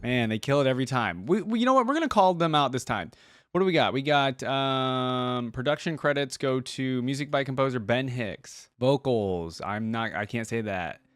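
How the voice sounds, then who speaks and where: A man's voice: medium pitch, expressive, moderate pace, fairly bright tone, dry recording. A man giving casual reaction commentary as a host, chatting informally and speaking straight to his viewers. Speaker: host of a game reaction channel. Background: music from the video being watched.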